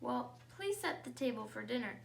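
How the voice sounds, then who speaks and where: A woman's voice: on the high side, big pitch swings, normal speed, vocal fry, exaggerated voice. A woman reading a storybook as a tired mother, polite but firm in her requests. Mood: weary, pleading. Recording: faint background noise.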